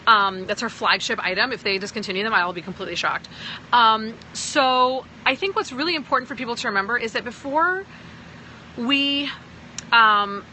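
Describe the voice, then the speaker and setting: A woman's voice: fairly high pitch, expressive, moderate pace, pushed and bright, slight background noise, rough recording. A woman from Pakistan who sounds American, talking to viewers on a phone livestream as a sales team leader, emphatic and often checking in with "okay?". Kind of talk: livestream talk answering viewers.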